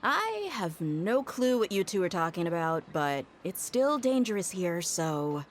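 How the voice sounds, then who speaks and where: A woman's voice: on the low side, very animated, measured pace, a little hiss or hum. A woman playing a tough, earnest mercenary warrior in a video game, direct and matter-of-fact. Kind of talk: video game character acting.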